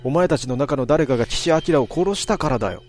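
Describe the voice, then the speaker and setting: A man's voice: medium pitch, expressive, a little fast, a little mellow, faint music behind, voice pushed up, close to an everyday voice. A man voicing a villainous possessed young woman, sneering and menacing. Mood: furious.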